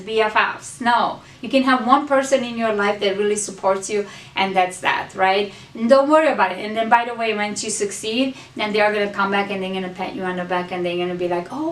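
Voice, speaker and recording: A woman's voice: fairly high pitch, far from the mic. A woman hosting a motivational talk, speaking earnestly to her viewers and repeating key phrases for emphasis as she coaches them. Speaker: host. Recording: echoey room, voice off-mic.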